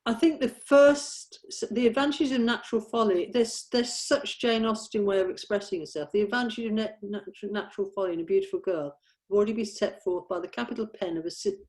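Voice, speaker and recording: A woman's voice: mid-range voice, fairly even intonation, fairly quick, a little mellow. A woman with a mid-range voice talks through a script with colleagues. Her delivery is informal and tentative, circling an idea before she lands on it. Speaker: member of a script team.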